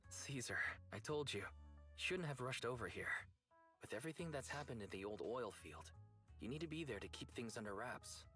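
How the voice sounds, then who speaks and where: A man's voice: mid-range voice, animated, moderate pace, fairly bright tone, soft background music, close to an everyday voice. An actor playing a concerned, level-headed young man in a video game. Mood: calm concern.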